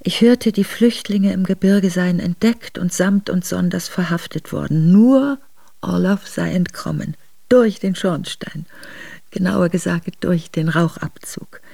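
An actress playing an earnest, reflective exiled noblewoman, narrating her memoir in a measured way for a radio drama. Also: on the low side, creaky, dark tone, dry recording. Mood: grave, reflective. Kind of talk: literary first-person radio drama narration.

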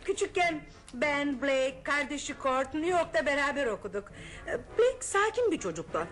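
A woman playing a chatty, fond aunt in a radio play, lively and talkative as she reminisces. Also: sits high, moderate pace. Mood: reminiscing. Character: chatty middle-aged aunt, gossipy, fond. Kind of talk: 1970s Turkish radio drama acting. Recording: old radio broadcast with music.

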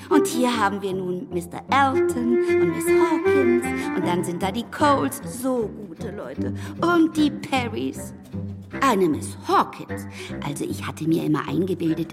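An actress playing a gushing, rambling elderly spinster in a radio play, jumping from thought to thought. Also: female voice, on the high side. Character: chattering elderly spinster, kindly and breathless. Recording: studio radio play with long reverb and music.

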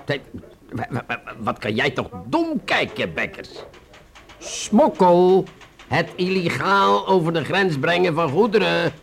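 A man with a Netherlands Dutch accent playing a condescending, weary police inspector in a radio play, sardonic and deliberate, with stammered hesitations. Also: fairly high pitch, big pitch swings, vocal fry, fairly bright tone, full character voice. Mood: sardonic, exasperated.

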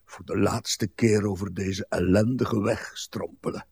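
An actor playing a grumpy, pedantic old husband, bitter and weary, in a radio play. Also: male voice, on the low side, fairly even intonation, measured pace, gravelly, a little mellow, breathy edge, dry recording, heavily acted. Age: older. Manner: irritable, complaining, pedantic.

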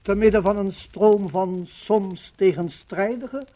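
High-pitched man, rhetorical radio witness. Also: slow, mellow. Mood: fervent, solemn. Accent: Dutch. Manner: theatrical, rhetorical. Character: exultant, indignant male witness of liberation. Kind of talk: 1950s radio docudrama declamation. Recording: noisy vintage 1950 radio recording.

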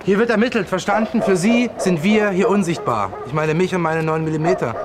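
A man's voice: high voice, fairly quick, background noise, lightly acted. An actor playing a menacing, self-important male investigator with a gun in a radio play. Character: pistol-carrying male investigator, menacing and cocky.

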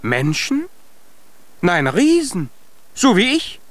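High-pitched, excitable tiny boy giant. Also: takes it slowly, slight background noise, heavily acted. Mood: surprised, curious. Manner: excitable, exclaiming, earnest. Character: tiny plucky boy giant, eager and boastful. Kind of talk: children's radio play acting.

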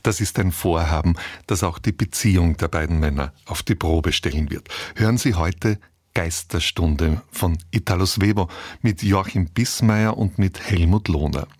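A man's voice: low-pitched, moderate pace, darkish tone, slightly rough, no echo, studio quality. A man reading a scripted introduction to a radio play as presenter. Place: radio studio.

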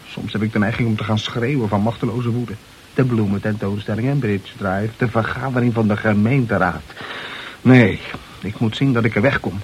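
Actor as restless young reporter, noisy old recording. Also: male voice, fairly low pitch, lightly acted. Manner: excitable, theatrical, exclaiming. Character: restless, bored young newspaper reporter, impulsive and wry. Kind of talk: old Dutch radio drama acting.

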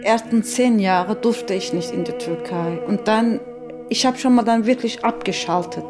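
A woman's voice: mid-range voice, moderate pace. Woman over music. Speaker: interviewee. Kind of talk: personal recollection. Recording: music playing behind the voice.

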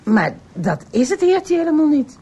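A woman's voice: high-pitched, sing-song, normal speed, warm tone. A woman playing an inquisitive, plain-spoken police constable in a radio drama. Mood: curious.

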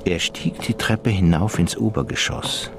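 Low-voiced male radio drama narrator. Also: animated, a little slow, creaky, music in the background.

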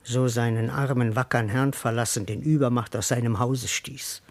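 An actor playing a grumbling, cowardly, hungry manservant in a classical verse radio drama, with theatrical delivery. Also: male voice, mid-range voice, steady tone, normal speed, natural voice. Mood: bitter grumbling. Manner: theatrical, muttering then exclaiming.

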